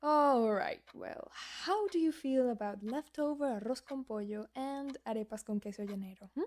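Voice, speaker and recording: A woman playing a practical, caring guardian in an audio drama, plain-spoken and gently instructive. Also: fairly high pitch, normal speed, lightly acted. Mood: gentle, practical.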